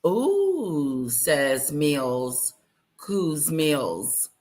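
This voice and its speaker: A woman hosting a casual live stream, chatting and greeting viewers by name in a loud voice. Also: sits low, big pitch swings, very slow. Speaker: livestream host reacting to news clips.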